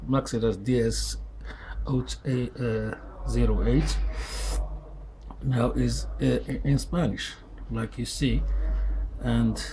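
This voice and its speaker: A man's voice: mid-range voice, steady tone, takes it slowly, mellow. A man whose first language is Arabic, speaking in English with many fillers and restarts, talking casually about a tool in a workshop. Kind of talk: casual product review vlog. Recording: noisy background.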